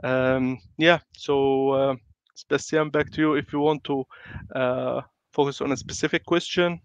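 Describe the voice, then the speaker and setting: A man's voice: medium pitch, steady tone, a little slow, clean tone, no room sound. A man with a flu-congested voice, giving a webinar talk as a guest speaker, hesitant and full of fillers and restarts. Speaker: guest speaker on building information modelling. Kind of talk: online webinar presentation. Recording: dry, slightly muffled lo-fi audio.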